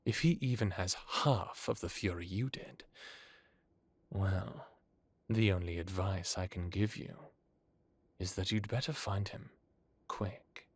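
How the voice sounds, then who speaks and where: Man playing a low-voiced, courtly ancient vampire lord. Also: breathy edge, heavily acted. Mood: grave, warning. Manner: measured, theatrical, formal with dramatic pauses. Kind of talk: dramatic audio roleplay acting.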